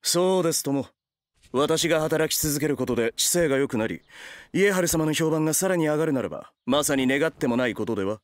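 A man playing a stern, animated anime retainer. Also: fairly high pitch, a little fast, no echo, close to an everyday voice. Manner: formal, curt, businesslike. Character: stern, workaholic male retainer, exasperated and formal. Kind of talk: anime voice drama comedy acting.

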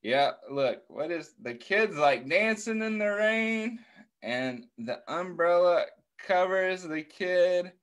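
A man's voice: on the high side, fairly bright tone. A middle-aged American man reading a picture book aloud to young children, encouraging them with questions and praise over a video call. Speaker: children's story time host.

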